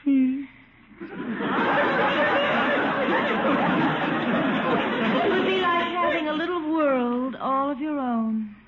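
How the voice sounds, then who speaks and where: A woman's voice: high-pitched, big pitch swings, drawn-out words, long pauses, over a noisy crowd, heavily acted. An actress playing a gracious, dreamy, genteel lady friend in a 1950s radio comedy. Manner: leisurely, genteel, wistful. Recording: noisy muffled old radio, audience behind.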